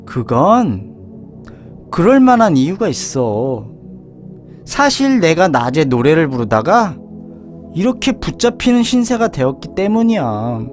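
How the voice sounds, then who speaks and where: A man's voice: on the high side, sing-song, unhurried, soft background music. A man voicing a wary, regretful caged songbird in a storybook, explaining earnestly.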